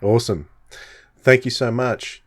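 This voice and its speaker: A man's voice: mid-range voice, fairly even intonation, measured pace. A man hosting a podcast in a casual, upbeat style. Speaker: podcast host.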